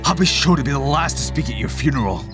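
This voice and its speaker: A man's voice: mid-range voice, lively intonation, fast talker, over music, close to an everyday voice. Stern, bitter father acted by a man, wide-swinging voice. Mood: angry, harsh. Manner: argumentative, commanding. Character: stern, bitter father, anti-military colonial speaker. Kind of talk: audio drama acting.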